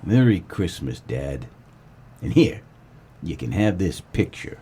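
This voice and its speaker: A man playing a deadpan, down-on-his-luck private eye with a husky voice in a comic noir radio play. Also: low voice, slow pace, pitched below the performer's own voice, exaggerated voice. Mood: dry, wistful.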